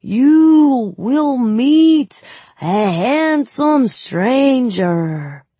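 A woman's voice: high voice, animated, drawn-out words, mellow, breathy edge, dry recording, voice pushed up, heavily acted. A woman reading an audiobook voices a young man pretending to tell fortunes in an outrageous fake accent, hesitant and mock-mysterious. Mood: mock-mystical.

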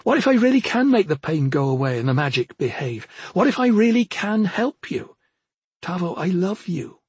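A man's voice: high voice, sing-song, normal speed, darkish tone, husky, dry recording, voice pushed up, full character voice. A man voicing a determined young woman in a fantasy audiobook, earnest and imploring as a troubled man's sister. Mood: gentle, imploring. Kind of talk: fantasy audiobook character acting.

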